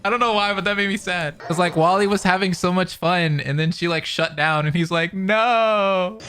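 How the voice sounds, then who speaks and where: A man doing casual, colloquial reaction commentary as he streams a game, expressive in manner. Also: high-pitched, moderate pace. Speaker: gaming streamer reacting to a story quest.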